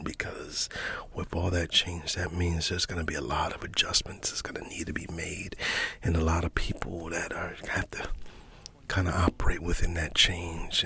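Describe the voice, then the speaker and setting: A man giving a soft-spoken, partly whispered ASMR ramble in a folksy, halting, repetitive way. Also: sits low, vocal fry, husky. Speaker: ASMR host.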